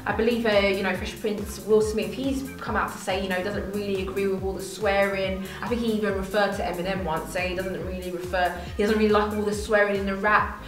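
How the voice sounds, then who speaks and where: A woman's voice: medium pitch, fairly even intonation, brisk, few pauses. A woman hosting an interview show, upbeat and encouraging, laughing often. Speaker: show host. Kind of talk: casual interview chat. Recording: echoey room, far from the mic, faint music.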